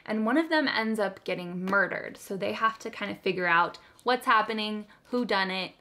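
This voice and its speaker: A woman's voice: mid-range voice, normal speed, pushed and bright. A woman hosting a book video, chatting casually to viewers in an enthusiastic, giggly, gushing way. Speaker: host of a book haul video.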